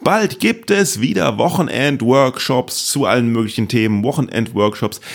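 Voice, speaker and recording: A man's voice: medium pitch, normal speed, gravelly. A man hosting a comedy podcast in casual chat, playful, repeating words for comic effect. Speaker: podcast host.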